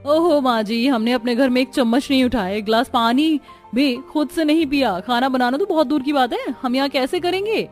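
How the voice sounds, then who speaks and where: A woman's voice: high voice, moderate pace, natural voice. A woman voicing a defiant, spoiled young daughter-in-law in a cartoon, brash and dismissive. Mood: indignant refusal. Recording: music under the voice.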